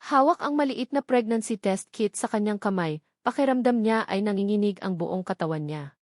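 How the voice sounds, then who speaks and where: A woman's voice: mid-range voice, normal speed, dry recording, studio quality. A woman with a Filipino accent reads a scripted romance story as its narrator, in a dramatic storytelling manner.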